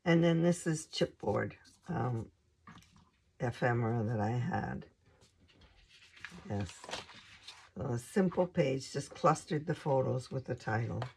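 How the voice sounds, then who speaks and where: A hoarse woman hosting a craft channel, casually showing her scrapbook pages in an unhurried, hesitant way with lots of ums. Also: extremely low pitch, lively intonation, darkish tone, slight background noise.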